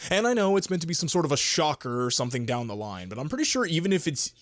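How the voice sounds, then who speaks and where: A man doing comedic scripted commentary as a review host, in an animated, playful manner. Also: on the high side, fast, background noise. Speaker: host and narrator of a comedic manga review series.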